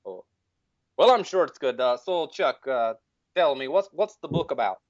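A man's voice: mid-range voice, animated, normal speed, no echo, highs cut off, natural voice. A man voicing a droll, hesitant, thousand-year-old horror sidekick in comic podcast banter.

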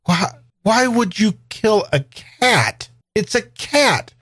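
A man's voice: high-pitched, big pitch swings, slow pace, fairly bright tone, breathy edge, pitched above the performer's own voice, heavily acted. Man acting a flustered, stammering teenage younger brother. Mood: bewildered. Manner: stammering, repeating words in agitation. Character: wary, impatient, bewildered late-teen younger brother.

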